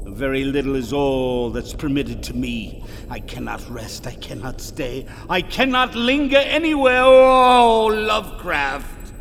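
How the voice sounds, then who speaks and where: A mournful tormented ghost in a radio play, slow creaky voice, huge reverb. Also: male voice, mid-range voice, sing-song, a bit bright, a little hiss or hum. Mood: anguished. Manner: solemn, mournful, theatrical, archaic diction. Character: tormented male ghost of a dead pulp author. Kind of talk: radio drama acting. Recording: long reverb, music behind.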